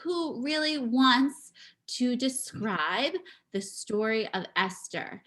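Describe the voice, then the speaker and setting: A woman giving a live spoken interpretation of a signing performer, speaking in halting bursts with pauses and restarts, over a video call. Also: fairly high pitch, animated, fairly bright tone. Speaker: sign language voice interpreter.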